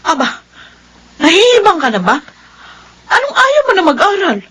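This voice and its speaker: A woman's voice: very high-pitched, very animated, normal speed, fairly bright tone, full character voice. A woman playing an exasperated, worried middle-aged mother with a Filipino accent in a melodrama. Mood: shocked, scolding. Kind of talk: melodramatic Filipino drama acting.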